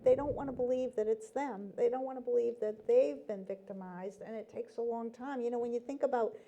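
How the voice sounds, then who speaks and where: A woman's voice: a little high, brisk, mellow, lo-fi sound. A woman speaking as a talk show guest from a nonprofit, explaining things earnestly, with frequent "um"s and "you know"s. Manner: earnest, explaining, with many fillers. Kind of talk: casual interview chat.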